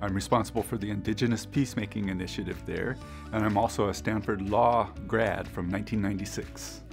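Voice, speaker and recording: Middle aged lawyer guy from south dakota, gravelly low voice, guitar music behind. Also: steady tone, a little fast. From South Dakota, USA. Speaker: staff attorney.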